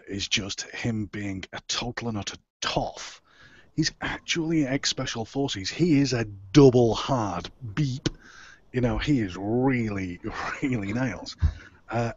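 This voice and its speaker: Husky, slow man with swooping pitch. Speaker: voice actor, cast member. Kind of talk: casual group call chat. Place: online video call. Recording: dull lo-fi call audio.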